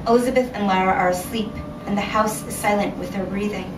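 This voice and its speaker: A woman author reading her short story aloud at a live event, measured and expressive, recorded in a room from a distance. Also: mid-range voice. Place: indoor venue at a reading series. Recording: roomy, noisy live recording from afar.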